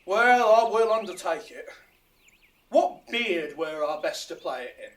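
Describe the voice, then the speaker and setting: A man's voice: sits high, expressive, a little slow, a bit bright, distant mic. A man playing a boastful, eager amateur actor with a showy, self-important manner in a Shakespearean audio drama. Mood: pompous.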